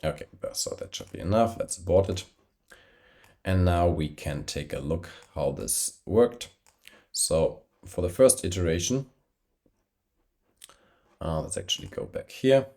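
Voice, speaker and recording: A man with a slight cold, hosting a screen-recorded software tutorial while traveling. He talks with many fillers and self-corrections, using a poor travel microphone. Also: low voice, sing-song, measured pace, creaky, pauses often. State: has a cold, slightly congested. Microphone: poor-quality travel microphone.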